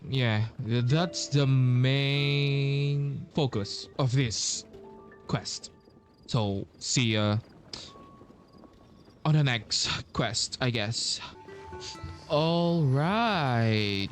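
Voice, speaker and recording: A man giving casual commentary on a video game playthrough, musing in a hesitant, halting way. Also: mid-range voice. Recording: commentary over game music.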